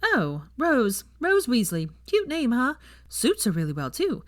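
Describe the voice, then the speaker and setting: A brash young witch teacher, acted out by a woman narrator, chatting away cheerfully with no filter. Her pitch bounces around in an animated way, and the sound is close and dry. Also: unhurried, dry recording. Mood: chatty, upbeat. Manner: brash, breezy, swears casually.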